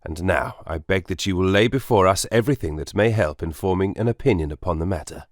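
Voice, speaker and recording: An actor playing a courteous, self-assured Victorian gentleman detective in an audiobook drama, precise and soothing. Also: deep voice, a little fast, no echo, studio quality, close to an everyday voice. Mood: attentive, assured. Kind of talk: full-cast audiobook drama acting.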